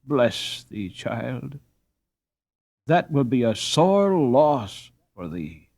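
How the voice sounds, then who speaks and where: A male reader voicing a warm-hearted, weary peasant mother with drawn-out, sighing, old-fashioned speech. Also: mid-range voice, sing-song, creaky, no room sound, full character voice.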